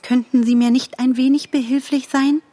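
Lady doing a hurt mrs hare, soft mellow highish voice, polite n calm, almost cheery, storybook. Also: normal speed, natural voice. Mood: polite pleading. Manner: polite, composed, gently pleading. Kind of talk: audiobook character voice.

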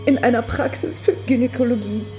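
A woman's voice: a little high, brisk, music in the background, close to an everyday voice, rough recording. An actress playing a hurt, reproachful yet resolute woman in a radio drama, speaking in a subdued, terse way. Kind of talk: naturalistic radio drama acting.

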